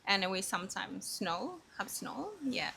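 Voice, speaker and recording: Bright-voiced Japanese woman speaking halting English. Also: mid-range voice, animated, creaky, slight background noise. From Kobe, Japan. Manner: halting, searching for words in second-language English. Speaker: interview guest. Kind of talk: casual interview answers.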